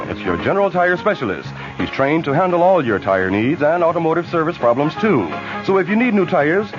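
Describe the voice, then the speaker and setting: American male newscaster on dull vintage radio. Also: medium pitch. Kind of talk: 1970s radio news bulletin reading. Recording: dull lo-fi 1970s radio broadcast.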